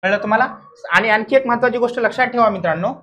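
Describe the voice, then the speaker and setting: A man's voice: sits high, very fast, pushed and bright. A man teaching an exam revision class in a didactic way, asking questions, answering them himself and repeating key words.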